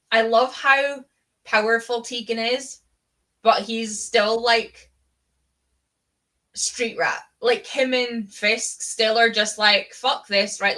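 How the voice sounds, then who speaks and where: A woman's voice: on the high side, pushed and bright. A woman with a Scottish accent chatting casually and fannishly about books as host of a live readalong, with the odd swear word. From Scotland. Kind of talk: casual book chat.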